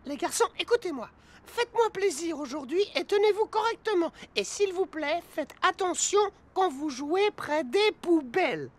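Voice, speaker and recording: A woman's voice: extremely high pitch, expressive, moderate pace. A woman playing a polite, cautioning female character in a French children's cartoon dub. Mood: insistent, cautioning.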